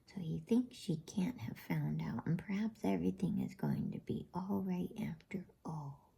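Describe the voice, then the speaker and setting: A woman reading a storybook voices an earnest, trusting young girl in a breathy whisper. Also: fairly low pitch, wildly swooping pitch, unhurried, mellow, exaggerated voice. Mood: happy, excited. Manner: eager, earnest.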